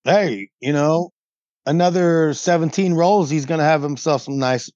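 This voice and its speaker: A man's voice: a little high, measured pace, a little mellow, dry recording. A man playing a tabletop game, chatting casually with the group. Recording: dull lo-fi audio, music underneath. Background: background music.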